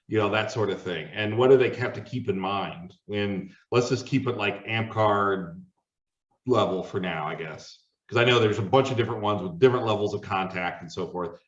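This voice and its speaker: Distant and slightly dull in sound, this is a man with a lowish voice. He hosts a casual, unscripted interview, asking questions in a conversational way. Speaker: host, interviewer. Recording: roomy, distant, dull microphone.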